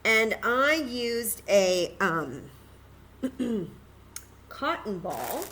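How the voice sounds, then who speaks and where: A woman's voice: mid-range voice, big pitch swings, extremely slow, pushed and bright, slight background noise. A woman hosting a children's story time, patiently and encouragingly demonstrating a craft step by step in simple, casual words.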